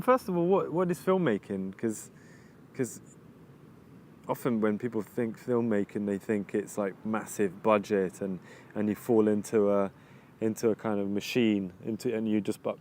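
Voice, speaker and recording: A man with an English accent and a lowish voice talks things through slowly outdoors, stopping and restarting as he hunts for the right phrase. Faint traffic and outdoor ambience hum behind him. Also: a little mellow. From England. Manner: halting, many restarts while searching for words. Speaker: videographer interviewee. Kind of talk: reflective casual interview answers. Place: outdoors overlooking the ocean.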